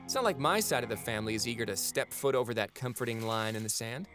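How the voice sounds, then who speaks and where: A man's voice: medium pitch, lively intonation, fast, over music, lightly acted. A man acting in an audio drama as a flippant, sardonic minor god who banters casually and wheedles. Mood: breezy, sardonic. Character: flippant, fast-talking minor trickster god, lately a frog.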